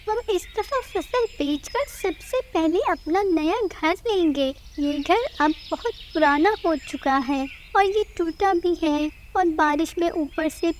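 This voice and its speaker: A woman plays a young daughter bird in a cartoon fable. The voice is high, childlike and earnest, speaking slowly, with faint insect noise behind. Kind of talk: Hindi cartoon dubbing.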